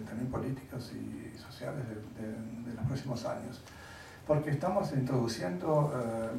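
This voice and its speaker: Gravelly man lecturing in an echoey hall. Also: medium pitch, dark tone, slight background noise. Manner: halting, searching for words with many fillers; wry, conversational. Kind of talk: lecture to an audience. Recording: echoey hall, mic at a distance.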